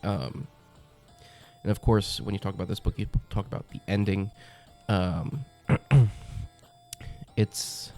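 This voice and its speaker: A young man with a cold, his voice very low, gravelly and stuffed up as he hosts a comic review channel. He talks in a chatty, enthusiastic way, full of ums and uhs, his tone dark and mellow, with faint music underneath. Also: slow, pauses often. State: congested from a cold. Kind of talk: casual review monologue.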